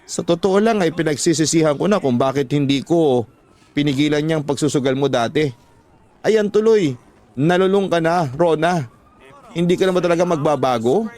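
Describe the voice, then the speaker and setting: A man's voice: a little high, lively intonation, moderate pace, darkish tone, natural voice. Man acting frustrated, reproachful husband. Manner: scolding, pleading, emphatic. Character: frustrated husband pleading with gambling-addicted wife. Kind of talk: Tagalog radio drama acting.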